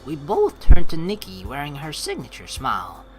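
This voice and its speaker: A man doing game commentary as a let's player, reading narration and lines aloud in an animated, rambling, informal way. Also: a little high, normal speed, faint music behind.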